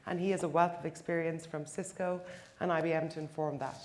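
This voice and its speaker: A woman's voice: deep voice, fairly even intonation, brisk, darkish tone. Woman moderating a conference panel. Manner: upbeat, polished introductions. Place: conference stage.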